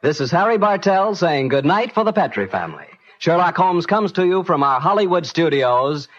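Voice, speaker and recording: An American man working as a radio host and announcer, in 1940s announcing style with a sponsor's sales pitch. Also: big pitch swings, normal speed, fairly bright tone. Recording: dull vintage radio, music behind.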